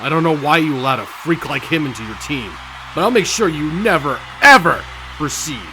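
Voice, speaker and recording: A man's voice: on the high side, expressive, moderate pace, noisy background. A man playing a vengeful, anguished tournament fighter in a visual novel, bitter and impassioned. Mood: bitter, righteous. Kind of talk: acted visual novel dialogue.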